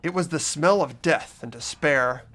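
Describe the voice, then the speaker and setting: An expressive narrator reading a game story and chatting casually in a group playthrough. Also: mid-range voice, moderate pace, faint music behind.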